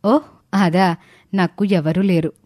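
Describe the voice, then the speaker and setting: A woman voicing a kind, reassuring teacher in a story, with a calm, measured manner. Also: fairly low pitch, no echo, studio quality. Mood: calm, confiding.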